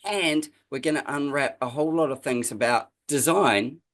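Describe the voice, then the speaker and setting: A man's voice: medium pitch, moderate pace, fairly bright tone. A man hosting an interview podcast, speaking in a conversational, reflective way. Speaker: podcast host.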